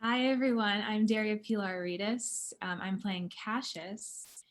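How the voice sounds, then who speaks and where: A woman's voice: mid-range voice, steady tone, measured pace. A woman from suburban Ohio, an actor, introducing herself casually.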